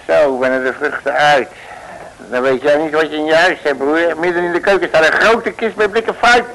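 An actor playing a brash, streetwise detective who talks bluff slang, in an old radio play. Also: male voice, on the high side, moderate pace, a bit bright, rough recording. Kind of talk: 1950s radio play acting. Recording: old radio broadcast with crowd noise.

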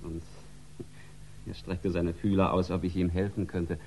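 A man's voice: low-pitched, flat delivery, a little mellow, slight background noise. An actor playing a discreet, reluctant businessman giving guarded testimony in a 1960s German radio crime drama. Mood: measured, guarded. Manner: guarded, hesitant, measured. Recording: dull 1960s radio recording.

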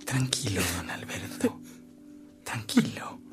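An actor playing a gentle, reassuring doctor speaking in a hushed manner in a radio drama. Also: male voice, medium pitch, huge pitch swings, slow pace, whispered. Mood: soothing. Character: gentle, soothing doctor escorting a frail friend. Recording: loud music over the voice.

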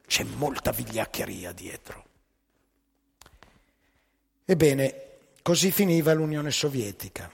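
Male stage storyteller: heavily rasping, creaky voice, emphatic rhetorical monologue in short measured phrases, live hall reverb. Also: mid-range voice, very animated. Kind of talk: theatrical spoken monologue.

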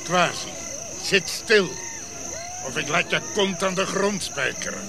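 A stern, threatening king and father in a radio play. He gives curt commands in a high, raised voice, with insects and clear noise behind him. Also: animated, unhurried. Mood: menacing. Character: stern, threatening royal father on his throne. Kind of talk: audio drama dialogue.

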